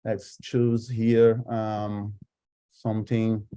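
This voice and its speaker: A man whose first language is Portuguese gives a casual live software demo, haltingly thinking aloud as he goes. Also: on the low side, flat delivery, clean tone. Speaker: software presenter. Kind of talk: live screen-share demo, casual explanation.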